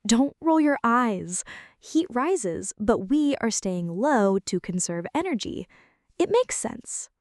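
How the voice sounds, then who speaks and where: A woman's voice: fairly high pitch, big pitch swings, slow pace, no room sound, clean studio sound. A woman playing a flirty, playfully bossy friend in an intimate, scripted ASMR roleplay, speaking straight to the listener with mock-dramatic exaggeration. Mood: playfully insistent.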